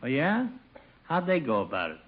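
An actor playing a chummy, pushy small-town barber in an old radio comedy, slangy, breezy and cajoling. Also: male voice, mid-range voice, wildly swooping pitch, normal speed, a little hiss or hum. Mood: coaxing. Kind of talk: 1940s-50s radio comedy acting. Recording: dull vintage radio broadcast recording.

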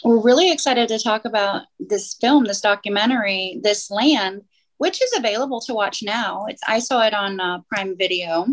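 A woman's voice: mid-range voice, moderate pace, poor audio. Casual woman co-host, chatty and a little hesitant when asking questions. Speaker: podcast co-host. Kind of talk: casual interview.

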